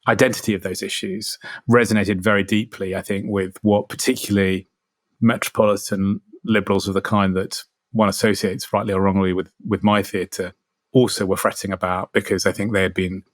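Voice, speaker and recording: Low-voiced man, hesitant and searching. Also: little pitch movement, a little fast, no echo, studio quality. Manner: hesitant, searching, careful wording. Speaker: theatre leader. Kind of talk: reflective unscripted commentary.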